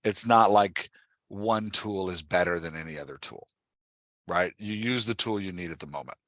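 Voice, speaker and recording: A man's voice: sits low, moderate pace, a bit bright, no echo, clean studio sound. A man hosting a conversational coaching interview, explaining with examples and often checking that he is understood. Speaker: podcast host and writing coach.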